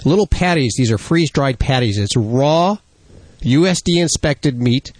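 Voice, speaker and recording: A man's voice: medium pitch, expressive, no echo. A man hosting a pet radio show, introducing the team, reading sponsor spots and taking calls. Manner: upbeat, quick, fills gaps with uh. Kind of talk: radio host patter and ad reads. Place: radio studio. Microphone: studio microphone.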